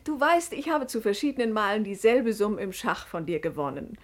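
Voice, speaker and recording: An actress playing a clever, devoted sister of a sultan in a 1950s German radio play, with measured stage diction. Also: female voice, medium pitch, big pitch swings, lightly acted. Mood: composed, persuasive.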